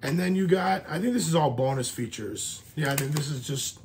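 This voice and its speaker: A man, a little hoarse from a cold, chatting casually as he shows off his collection on his channel, rambling and full of fillers. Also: on the high side, expressive, brisk, a little mellow, a little hiss or hum. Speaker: YouTube collector vlogger. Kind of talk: casual show-and-tell vlog.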